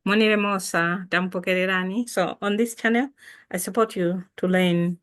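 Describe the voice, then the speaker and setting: A woman with a Malawian accent gives an informal language lesson as the teacher, repeating words deliberately for learners. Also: fairly low pitch, steady tone, a bit bright.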